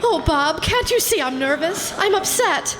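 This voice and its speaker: A woman's voice: extremely high pitch, expressive, unhurried, in a large hall, music in the background. A woman playing an anxious, snappish stalking victim in an old-time radio melodrama. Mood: snappish, nervous.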